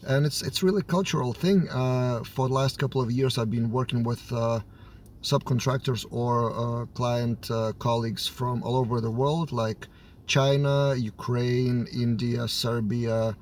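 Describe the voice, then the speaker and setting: A man with a Lithuanian accent speaks in an everyday mid-range voice that sounds a little under the weather from a cold. He thinks out loud, with hesitations and fillers between phrases, as a developer explaining his view on camera. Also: mid-range voice, fairly even intonation, unhurried, a little hiss or hum. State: has a slight cold. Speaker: software developer and team lead. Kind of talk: casual to-camera talk.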